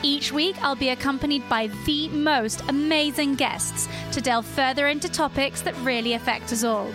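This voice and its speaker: Bubbly high-voiced woman hosting a podcast. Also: normal speed, over music. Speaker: podcast host. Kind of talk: casual podcast interview.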